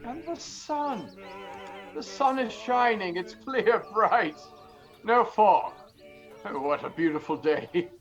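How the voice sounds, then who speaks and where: An actor playing a repentant old miser in an audio play, halting and stammering with fervent feeling. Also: male voice, sits high, lively intonation, dull audio, faint music behind. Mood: joyful wonder. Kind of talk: theatrical audio-play acting. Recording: noisy audio-play recording.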